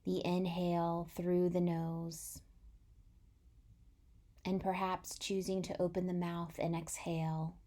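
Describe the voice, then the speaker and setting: Slow, mellow woman guiding meditation. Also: fairly low pitch. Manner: gentle, unhurried, soothing delivery with long pauses. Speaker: meditation guide. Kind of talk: guided body-scan meditation.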